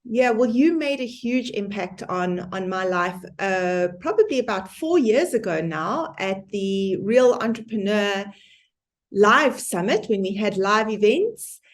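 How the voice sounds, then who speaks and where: A woman's voice: mid-range voice, animated, a little slow. A woman hosting an online summit interview, introducing and chatting with her guest in a conversational, appreciative way.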